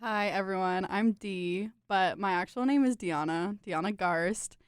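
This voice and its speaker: A woman's voice: medium pitch, a little slow, clear, resonant tone, no room sound, studio quality. A young woman from Connecticut, a saxophonist in a student band, chatting casually and agreeing a lot. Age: young adult. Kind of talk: casual interview chat.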